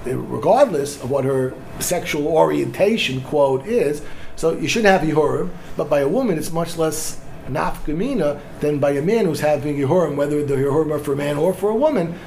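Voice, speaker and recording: Slightly high-voiced rabbi teaching a class. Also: male voice, lively intonation, moderate pace, creaky, darkish tone, a little hiss or hum.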